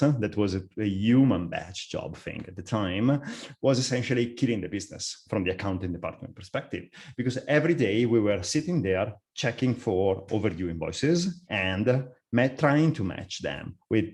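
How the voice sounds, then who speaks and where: A middle-aged man with an Italian accent, presenting a live software webinar with frequent fillers and restarts. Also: medium pitch. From Italy. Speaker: software architect, webinar speaker.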